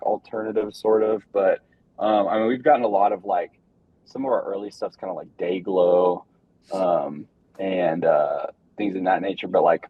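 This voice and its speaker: Laid-back young guy in a band, low husky voice, dull lo-fi recording. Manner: laid-back, rambling with many fillers. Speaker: band member guest. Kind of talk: casual interview chat.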